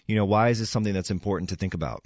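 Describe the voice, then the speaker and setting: A man with a hoarse voice, hosting an interview in a relaxed, chatty and halting way. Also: lowish voice, dry recording, lo-fi sound. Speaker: show host. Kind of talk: casual interview.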